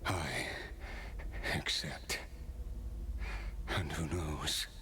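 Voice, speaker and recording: An actor playing a weary, pained immortal villain in a video game, speaking gravely and theatrically with a breathy, whispery deep voice. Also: male voice, steady tone, sharp tone, slight background noise, exaggerated voice. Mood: resigned, hopeful. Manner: grave, slow, theatrical resignation. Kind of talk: dramatic video game acting.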